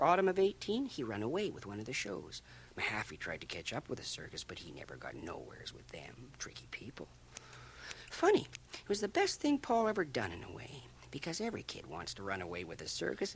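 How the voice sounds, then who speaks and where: A man's voice: a little high, creaky, a little hiss or hum, heavily acted. A man playing a folksy, gossipy small-town barber with a Canadian accent in a radio drama, chuckling and dropping into confidential asides. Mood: chuckling, callous. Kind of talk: radio drama acting, rambling monologue. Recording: 1980 radio drama, home cassette transfer.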